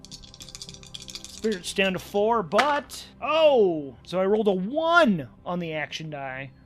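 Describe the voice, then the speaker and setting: A man with a fairly high-pitched voice narrates a solo tabletop game as its game master. He talks his way through it conversationally and with animation, thinking out loud. Music plays behind his voice. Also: big pitch swings, slow. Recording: background music under the voice.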